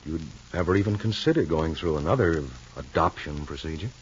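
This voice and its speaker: An actor playing a calm, reasonable husband in a 1950s radio soap, speaking in a measured, frank way. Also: male voice, rumbling low, slight background noise. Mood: surprised, bemused. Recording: muffled old radio recording.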